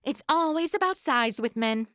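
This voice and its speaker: A woman's voice: high-pitched, animated, moderate pace, pushed and bright, dry recording, studio quality. A woman playing a flippant, sassy modern influencer in a radio comedy, casual and wisecracking. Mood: sarcastic.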